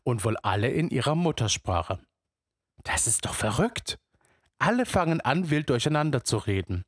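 A man's voice: mid-range voice, big pitch swings, normal speed, slightly rough, no echo, studio quality. A man telling a story in the first person, with musing, immersive narration.

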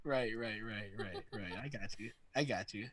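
A man's voice: on the low side, moderate pace, a crowd in the background. A man casually interviewing a friend about wrestling, talking loosely with lots of fillers. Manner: loose and filler-heavy, lots of "like" and "you know what I'm saying".

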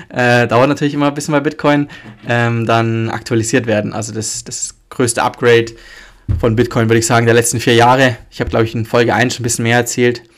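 A German man hosts a podcast by himself, and his voice is thick and stuffy from a cold. He talks loosely and rambles, filling pauses and correcting himself as he goes. His pitch sits in an ordinary middle range. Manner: loose and rambling, many fillers and self-corrections. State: has a cold, stuffy nose. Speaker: podcast host. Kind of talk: casual solo podcast talk.

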